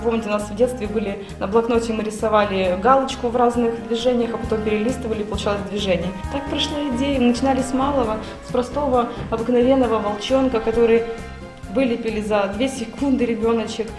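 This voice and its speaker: Fast-talking woman teacher in an echoey room. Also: fairly high pitch, fairly even intonation. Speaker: kindergarten teacher. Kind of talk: interview answers. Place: indoor classroom. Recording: echoey room with music behind.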